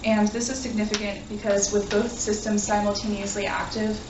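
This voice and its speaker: A young woman gives an academic talk in an earnest way, with plenty of ums. Her voice stays fairly flat in pitch and is picked up from a distance in an echoey room. There is clear background noise. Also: brisk. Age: young adult. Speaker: graduate student presenter. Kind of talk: academic presentation.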